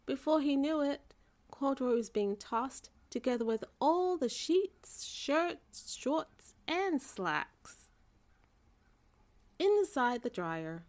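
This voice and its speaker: A woman narrating a bedtime story for children in an expressive storytelling manner. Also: high-pitched, takes it slowly. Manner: expressive storytelling, character voices for dialogue.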